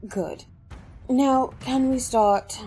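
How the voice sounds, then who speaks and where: A woman's voice: sits high, drawn-out words, mellow, soft background music, heavily acted. A woman playing a dry, unimpressed and impatient tribunal member in a fantasy audio drama.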